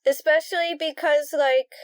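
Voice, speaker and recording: A woman's voice: sits high, fairly even intonation, moderate pace, no room sound, clean studio sound. A woman co-hosting a fan podcast, chatting casually and often repeating words as she starts a thought. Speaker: podcast co-host.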